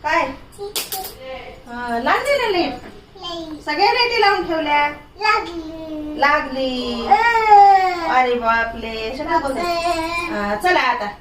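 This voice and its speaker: A very high-voiced woman with a rural Marathi accent talks to her toddler in a lively, swooping way. She scolds and coaxes in short, repeated commands. The home recording has clear background noise. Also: takes it slowly, pauses often. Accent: Marathi, rural Maharashtra. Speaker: mother filming her toddler for a family vlog. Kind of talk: casual home chat with a small child. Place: indoors at home. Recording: noisy home phone recording.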